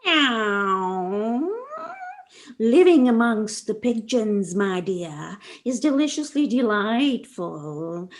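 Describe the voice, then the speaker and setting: A woman's voice: medium pitch, lively intonation, drawn-out words. A woman playing a sly female cat with a silky, teasing manner in a comedy audio drama. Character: sly, purring female cat with a taste for pigeons.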